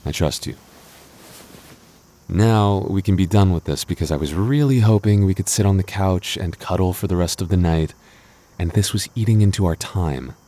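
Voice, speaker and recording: A man playing a clingy, jealous young boyfriend in an intimate roleplay audio, talking casually and haltingly, prone to stammering when flustered. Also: low voice, mellow, natural voice. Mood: reassuring, mildly sulky.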